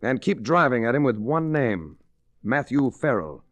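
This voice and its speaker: An actor playing a cool, blunt, world-weary investigator in a 1940s radio drama, with a clipped, deadpan delivery. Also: male voice, mid-range voice, moderate pace, no room sound, natural voice. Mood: brisk, commanding. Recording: restored vintage 1940s radio recording.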